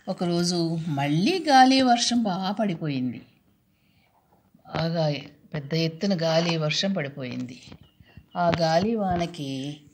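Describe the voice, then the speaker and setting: A woman's voice: on the low side, darkish tone, slight background noise. A woman telling a children's story, repeating and explaining key words and putting questions to her young listeners.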